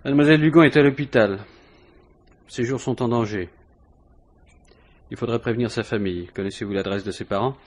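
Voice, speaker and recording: An actor playing a dry, methodical police inspector questioning someone in a 1950s French radio mystery. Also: male voice, medium pitch, fairly quick, long pauses, natural voice. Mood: probing, dry. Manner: matter-of-fact, questioning.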